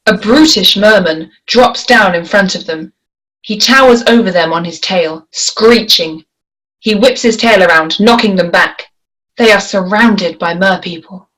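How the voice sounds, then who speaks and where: A woman's voice: medium pitch, unhurried, fairly bright tone, poor audio. A woman narrating scripted action and stage directions at a screenplay table read. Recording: faint background noise.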